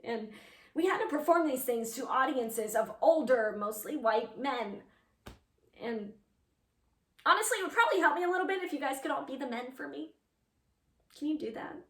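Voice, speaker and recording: Woman doing an eager earnest lady playwright as her teen self, high voice, chatty stage monologue, echoey room. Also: lively intonation, moderate pace, pauses often, a bit bright, recorded from a distance. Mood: wry, awkward. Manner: chatty, emphatic, direct address. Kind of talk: theatrical monologue addressed to an audience.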